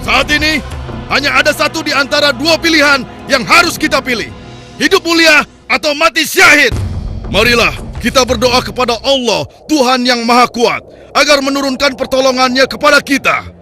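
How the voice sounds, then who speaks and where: A man's voice: very high-pitched, moderate pace, sharp tone, faint music behind, heavily acted. A man playing a devout, rousing army commander in a religious cartoon, solemn and commanding in his declamation. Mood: rousing, earnest. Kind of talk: Indonesian religious cartoon dub.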